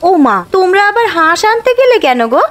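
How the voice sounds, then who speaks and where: Woman acting a high-voiced, bossy village housewife. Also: sing-song, brisk, fairly bright tone, heavily acted. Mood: surprised, welcoming. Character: village housewife and mother, caring but bossy. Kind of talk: cartoon voice acting. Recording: music behind the voice.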